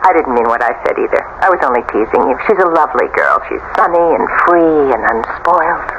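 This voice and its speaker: Swooping, animated scheming sister. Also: female voice, extremely low pitch, quick pace. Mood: teasing. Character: cool, teasing, ruthless sister of the husband. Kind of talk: 1970s radio drama acting. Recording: muffled, hissy old radio recording.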